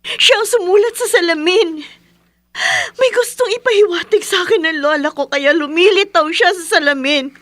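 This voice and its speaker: Haunted wife, horror radio drama; extremely high, tearful, fearful, dry close sound. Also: female voice, normal speed, fairly bright tone, dry recording. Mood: tearful, frightened. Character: frightened, tearful wife haunted by her grandmother.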